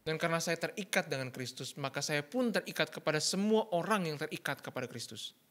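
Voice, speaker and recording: Fast bright male sermon interpreter. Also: a little high, animated. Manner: quick, with fillers and self-corrections. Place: church.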